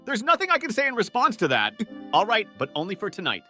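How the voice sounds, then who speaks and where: High, bright, earnest young butler voiced by a man. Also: huge pitch swings, fast talker, breathy edge, soft background music, heavily acted. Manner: earnest, easily flustered, polite. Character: earnest, flustered young male student butler devoted to the heiress. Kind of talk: anime-style romantic comedy dub with first-person narration.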